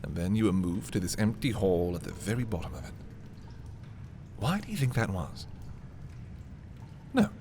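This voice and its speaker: Man acting a low-voiced, haughty, possessive young prince. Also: big pitch swings, moderate pace, long pauses, a little hiss or hum. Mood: coolly menacing. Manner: haughty, dramatic, swinging from hushed to exclaiming. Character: arrogant, possessive young fantasy prince, scheming and theatrical. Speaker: voice performer. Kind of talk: dramatic roleplay audio, ASMR fantasy monologue.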